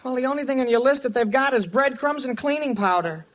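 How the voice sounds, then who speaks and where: Very high, whiny teenage boy. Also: fast talker, fairly bright tone. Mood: earnest, hapless. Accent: American. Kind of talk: old-time radio comedy acting. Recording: vintage radio recording with faint noise.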